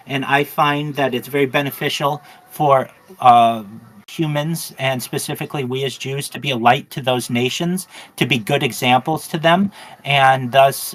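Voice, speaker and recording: This is a rabbi in an interview, a man of middle pitch who answers earnestly and at a measured pace. He pauses often on uh and um while he gathers his thoughts. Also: a bit bright, rough recording. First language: English. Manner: earnest, measured, frequent fillers.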